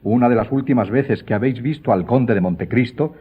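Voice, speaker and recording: A proud count acted by a man in a radio play, husky and pompous, pressing his point with stiff military formality. The audio is narrow and phone-like. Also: medium pitch, brisk, natural voice. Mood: indignant. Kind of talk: Spanish radio drama acting.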